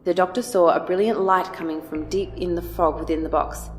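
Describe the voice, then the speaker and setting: A woman's voice: lowish voice, steady tone, a little fast. A woman reading scripted story narration for an audio drama. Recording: music under the voice.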